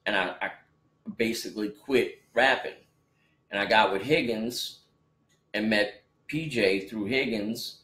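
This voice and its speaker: A man's voice: on the low side, measured pace, long pauses, a bit bright, reverberant. A man in his forties in a casual podcast chat, rambling with many restarts and fillers. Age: 40s. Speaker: podcast co-host, rapper.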